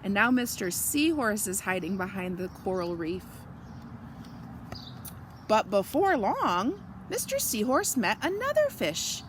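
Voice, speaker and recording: A woman reading a picture book aloud to children, gently explaining and asking questions in asides. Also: mid-range voice, very animated, measured pace, slight background noise. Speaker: children's story reader.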